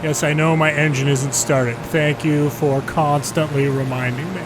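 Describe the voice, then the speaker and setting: A man's voice: on the high side, moderate pace. An American man giving casual, sardonic game commentary with exasperated asides. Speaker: gaming video host, pilot and former air traffic controller. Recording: steady background hum and noise.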